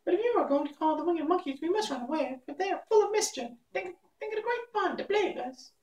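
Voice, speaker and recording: A man voicing a small, squeaky mouse queen in a storybook, courteous and helpful. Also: animated, quick pace, a little mellow, roomy sound, recorded from a distance, dull audio. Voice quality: tiny, squeaky. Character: squeaky little old-world queen of mice, helpful.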